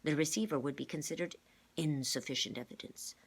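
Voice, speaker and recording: Woman voicing a grave, gentle, measured older gentleman. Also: sits low, slightly rough. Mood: resigned. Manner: measured, formal, careful wording. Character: grave, gentle, modest older male genius mentor.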